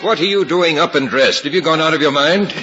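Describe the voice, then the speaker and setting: An actor playing a frank, steady middle-aged hospital doctor who explains in a measured way, in a 1950s radio drama. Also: male voice, fairly high pitch, loud music behind the voice, lightly acted. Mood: alarmed. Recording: muffled 1950s radio recording with hiss.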